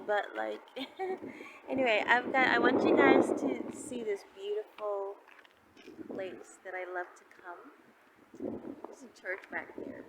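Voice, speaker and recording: Lady with a high voice just chatting to her viewers, kinda hesitant, mic sounds far off. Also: very noisy. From Bahamas. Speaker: vlogger answering viewer questions. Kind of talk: casual, unscripted question-and-answer chat to viewers.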